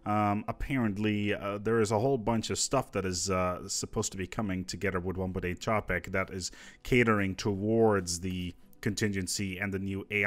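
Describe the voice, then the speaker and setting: A man's voice: low voice, fairly bright tone. A man presenting casual gaming news commentary in an informal, conversational, musing manner.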